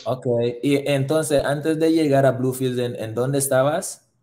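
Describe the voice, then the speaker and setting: A young man hosting a casual interview over a webcam, asking relaxed questions with English fillers mixed in. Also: mid-range voice, a little slow. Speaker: host, interviewer. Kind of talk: casual video-call interview. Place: room indoors.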